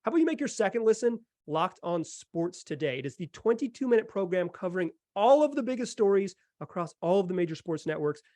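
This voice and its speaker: A man's voice: sits high, sing-song, fast talker, hardly any pauses, dark tone, no echo. A man hosting a podcast, chatting casually and enthusiastically about sports with emphatic delivery. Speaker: podcast host.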